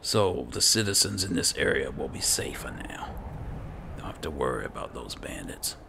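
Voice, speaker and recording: A man giving hushed, casual commentary as he role-plays through a video game, often dropping close to a whisper. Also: a bass voice, big pitch swings, normal speed, vocal fry, background noise. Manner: murmuring, often near-whispered. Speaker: gaming video host, role-player. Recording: game music behind the voice. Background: video game music.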